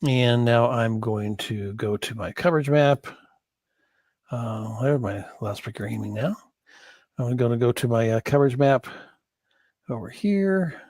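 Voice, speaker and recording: A man giving an informal screen-share tutorial as an instructor, thinking aloud in a halting way and correcting himself as he goes. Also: medium pitch, darkish tone, no echo, studio quality. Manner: halting, hesitant delivery with self-corrections. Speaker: course instructor in church sound.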